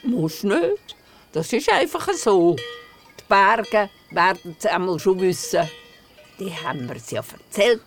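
A woman's voice: medium pitch, very animated, slow pace, soft background music. Spirited Swiss German grandmother, telling tales. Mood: matter-of-fact. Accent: Swiss German, Glarus area. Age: older. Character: spirited storytelling grandmother of a young boy. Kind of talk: radio play storytelling in dialect.

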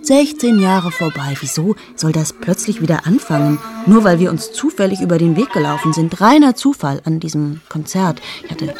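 An actress playing a cool, self-absorbed journalist in her late thirties in an intimate radio play monologue, speaking in a hushed, deliberate way that often drops towards a whisper. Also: deep voice. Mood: rueful, detached. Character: 38-year-old female journalist, cool, amoral, confessional and self-absorbed.

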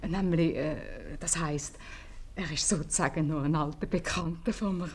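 An actress with a Swiss German accent playing a curious innkeeper's wife in a 1950s radio comedy. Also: female voice, deep voice, fairly even intonation, moderate pace, a little hiss or hum. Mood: curious, hesitant.

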